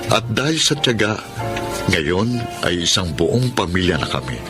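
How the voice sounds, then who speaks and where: Low-voiced contrite father, earnest and patient, Filipino radio drama over music. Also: very animated, a little slow. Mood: reflective, earnest. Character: remorseful father pleading for forgiveness, patient and earnest.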